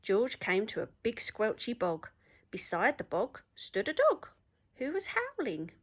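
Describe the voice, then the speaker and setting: A woman reading a children's story aloud in an expressive storytelling manner. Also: fairly high pitch, measured pace, husky.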